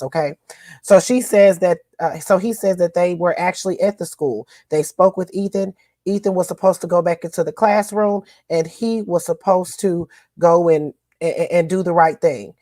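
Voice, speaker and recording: A woman's voice: fairly low pitch, darkish tone, no room sound, studio quality. A woman co-hosting a podcast, recapping news in a chatty, folksy way with lots of fillers. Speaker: co-host.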